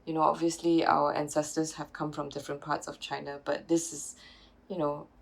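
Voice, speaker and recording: A woman's voice: sits low, little pitch movement, normal speed, vocal fry, poor audio. A woman, a curator answering interview questions reflectively, with many restarts and fillers. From Singapore. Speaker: curator and PhD researcher.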